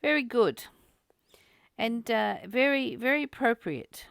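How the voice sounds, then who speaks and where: A woman's voice: fairly high pitch, animated, measured pace, creaky, fairly bright tone, dry recording, clean studio sound. A woman leading a casual, reflective spiritual discussion in a conversational way, repeating phrases for emphasis. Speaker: spiritual study host.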